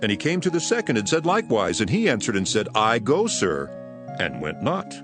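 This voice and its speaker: Low-voiced authoritative teacher, solemn emphatic declamation, hugely varied intonation, dramatized scripture, background music. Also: male voice, huge pitch swings, brisk. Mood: solemn teaching.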